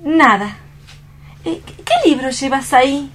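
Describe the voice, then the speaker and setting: A woman's voice: high voice, expressive, a little slow, fairly bright tone. An actress playing a fragile, weary young woman who speaks hesitantly in a radio drama reading. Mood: anxious, hesitant. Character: fragile, weary young woman recovering from near-drowning, anxious and secretive.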